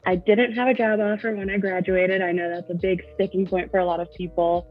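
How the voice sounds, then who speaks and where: A woman's voice: mid-range voice, level tone, brisk, soft background music. A woman introducing her engineering career on a livestream panel, talking casually with frequent ums. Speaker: mentor panelist. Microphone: video call. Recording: dull lo-fi audio.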